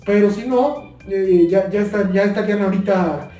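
A man's voice: sits high, normal speed, dark tone. A young adult man giving an informal, earnest tutorial as a video host, often repeating and rephrasing his points. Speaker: YouTube explainer host. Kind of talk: informal spoken tutorial on two-way radios. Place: small bare indoor room, seated at table. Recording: echoey room, distant mic, faint music.

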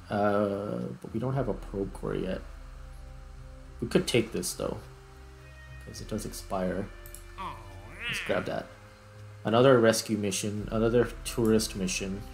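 A man giving casual, unhurried gameplay commentary, thinking aloud as he plays. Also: fairly low pitch, vocal fry, faint music behind. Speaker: host, gamer.

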